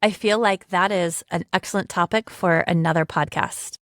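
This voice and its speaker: A woman's voice: on the low side, steady tone, normal speed, no room sound, clean studio sound. A woman in her forties co-hosting a podcast, a voiceover artist who talks in a chatty, playful way and often breaks off and restarts her sentences. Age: 40s. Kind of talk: casual podcast chat.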